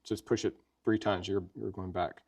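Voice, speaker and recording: A man's voice: low-pitched, little pitch movement, moderate pace, vocal fry, a little mellow, slightly rough. A man presenting a gear review in a casual, folksy, conversational way, as if showing a friend how it works. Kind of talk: casual product demonstration chat.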